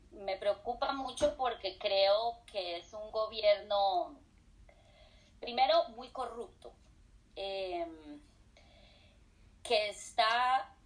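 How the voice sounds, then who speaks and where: A woman's voice: mid-range voice, poor audio. A middle-aged woman from Colombia, a professor being interviewed, switching between Spanish and English with frequent fillers. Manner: hesitant, many fillers and restarts. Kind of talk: casual interview answers.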